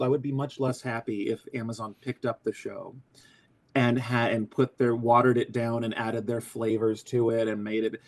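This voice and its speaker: A man who voices a cartoon character, talking in a casual interview, excitable and crude, joking and restarting often. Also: mid-range voice. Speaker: voice actor guest.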